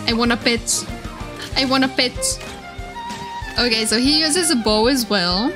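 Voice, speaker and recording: Gushing high-voiced woman streamer. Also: unhurried. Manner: gushing, flustered, repeats herself. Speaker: VTuber streamer reacting to game trailers. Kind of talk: excited live reaction commentary. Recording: game trailer music behind voice.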